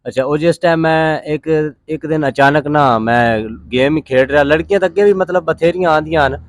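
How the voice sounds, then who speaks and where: A man's voice: on the high side, moderate pace, no echo. Pakistani Punjabi man, casual and dry-sounding. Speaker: interview guest. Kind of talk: casual conversational storytelling.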